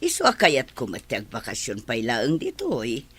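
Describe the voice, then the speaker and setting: A woman playing an old husky grandma. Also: extremely low pitch, huge pitch swings, moderate pace, a bit bright, heavily acted. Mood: warm. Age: older. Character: gentle doting grandmother, elderly woman. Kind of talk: radio play acting.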